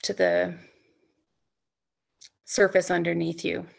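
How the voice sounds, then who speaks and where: Gravelly low-voiced woman guiding sleep meditation. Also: level tone. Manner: soothing, unhurried phrasing with long pauses, gently coaxing. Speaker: yoga nidra instructor leading a guided meditation. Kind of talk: guided sleep meditation, softly spoken instruction.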